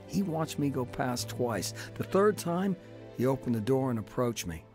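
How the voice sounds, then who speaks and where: A man's voice: medium pitch, sing-song, moderate pace, a little mellow, faint music behind, natural voice. An actor playing a down-on-his-luck man who narrates his own story in a radio drama. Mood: reflective.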